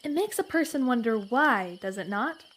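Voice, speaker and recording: Educated Old West lady, fairly high voice, formal, precise, discreet, lofty diction, comedy audio drama. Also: expressive, fairly bright tone. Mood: probing, formal. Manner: formal, precise, measured wording.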